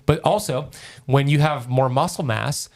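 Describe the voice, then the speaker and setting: A man's voice: mid-range voice, moderate pace, a little mellow. A man talking as a podcast guest, explaining health ideas in a keen, teacherly way with examples. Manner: enthusiastic, teacherly, gives examples. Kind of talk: explanatory interview talk.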